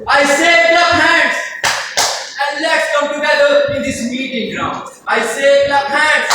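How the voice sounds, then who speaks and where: A young man recites poetry with emphatic, theatrical delivery. His voice is very high for a man, and he draws his phrases out slowly. The sound is heavily echoing, as in a big competition venue. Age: young adult. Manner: emphatic, declamatory, drawn-out phrasing. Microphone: distant microphone. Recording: echoey, noisy hall, far from mic.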